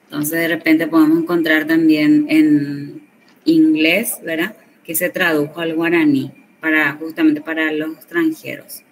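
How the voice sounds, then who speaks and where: A woman teaching a class, explaining slowly and often checking that students follow. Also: low-pitched, little pitch movement. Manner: slow explanatory, checks understanding with tag questions. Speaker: Guarani teacher. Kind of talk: classroom lesson. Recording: recorded from a distance with faint background noise.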